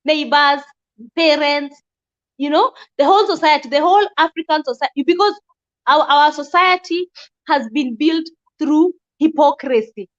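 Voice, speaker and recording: A young woman talks bluntly and with force, her voice pitched very high. The recording sounds dull and lo-fi. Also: normal speed. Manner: blunt, outspoken, emphatic. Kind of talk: casual discussion.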